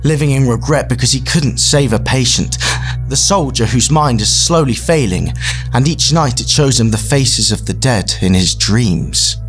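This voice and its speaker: A man playing a solemn, persuasive leader giving a grandiose, measured speech with dramatic pauses in an audio drama. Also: medium pitch, soft background music, lightly acted. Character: solemn, persuasive male leader of a mysterious afterlife program.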